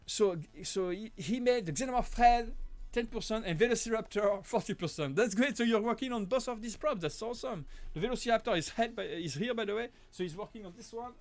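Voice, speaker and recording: A man with a French accent, hosting a casual live-stream Q&A and answering viewer questions, with many halting restarts and self-corrections. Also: high voice, faint music behind. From Switzerland. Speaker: live-stream host, prop maker.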